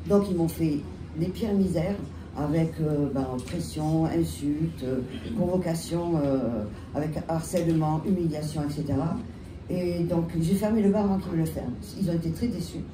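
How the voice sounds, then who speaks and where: A woman with a French accent and a head cold, telling her story on a panel with halting restarts. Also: deep voice. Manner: halting, many restarts and fillers. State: has a cold. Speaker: bar owner, panelist. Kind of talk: panel talk, recounting her history. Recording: echoey room, distant mic, loud background noise.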